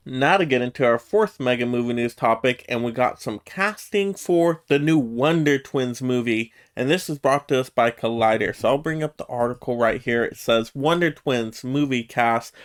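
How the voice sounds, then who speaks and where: A man's voice: medium pitch, smooth. A man hosting a casual solo news roundup, conversational and emphatic, often checking himself with asides. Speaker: YouTube host giving movie news commentary.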